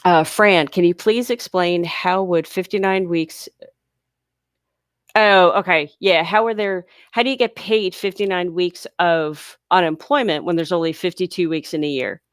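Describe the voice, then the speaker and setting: A chatty woman runs a live question-and-answer stream, her voice on the lower side and congested. She speaks with a smooth, clean tone, close and dry with no room sound. She explains things patiently, step by step. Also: steady tone, measured pace, no room sound. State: congested from sinuses. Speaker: livestream host answering viewer questions. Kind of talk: casual live Q&A. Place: home near a large US city.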